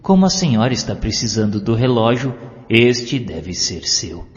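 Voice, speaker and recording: A Brazilian man voicing a gentle, humble, kindly male spirit medium in an audiobook, with a soothing manner. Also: fairly low pitch, sing-song, normal speed. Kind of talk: audiobook character dialogue. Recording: muffled, long reverb, music behind.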